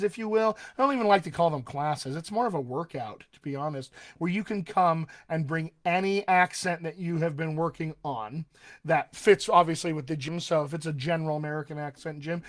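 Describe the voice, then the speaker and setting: A man, a dialect coach, talking casually in a live stream, friendly and chatty with frequent ums and uhs. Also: on the high side. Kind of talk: live-streamed solo talk.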